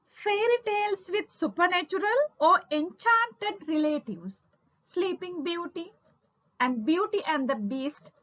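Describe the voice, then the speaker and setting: A woman giving a scripted literature lesson as a lecturer, in a deliberate, emphatic, instructional manner, on a lo-fi recording. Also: high-pitched, a bit bright. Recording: dull lo-fi audio.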